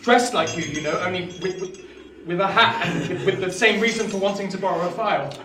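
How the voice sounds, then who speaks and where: A man's voice: high-pitched, a little fast, reverberant, distant mic, natural voice. An actor playing a polite, timid, inquisitive village boy in a radio play, earnest and hesitant, with an English accent.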